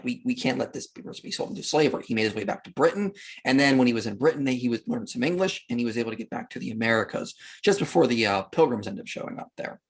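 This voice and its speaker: A man's voice: mid-range voice, breathy edge. A man speaking as a podcast guest, explaining history in an emphatic, conversational way and acting out imagined quotes. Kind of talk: animated explanatory discussion of history.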